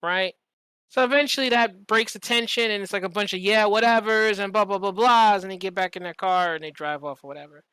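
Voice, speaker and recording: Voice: medium pitch, fairly quick, smooth, no room sound. A podcast host telling stories casually and animatedly, with plenty of swearing and slang, often acting out what people said. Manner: animated, profane, slangy, acts out quoted dialogue. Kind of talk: casual storytelling in conversation.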